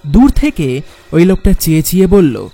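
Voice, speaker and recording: A man playing an anxious traveller who tells a horror story in the first person, dramatic and expressive. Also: high-pitched, unhurried, soft background music, full character voice. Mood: reflective, tense. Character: anxious male traveller recounting his night crossing.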